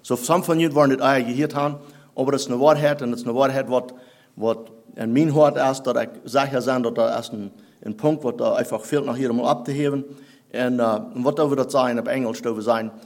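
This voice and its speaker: Male preacher, Plattdeutsch native, halting German, English words mixed in, sermon. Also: medium pitch, steady tone, darkish tone.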